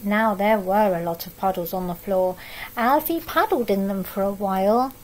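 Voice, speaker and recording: A middle-aged woman reading a picture book aloud to young children, expressive and adding asides about the pictures. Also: mid-range voice, slight background noise, poor audio. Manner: expressive storytelling that pauses to comment on the pictures. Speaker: storybook reader for young children. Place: living room at home, indoors.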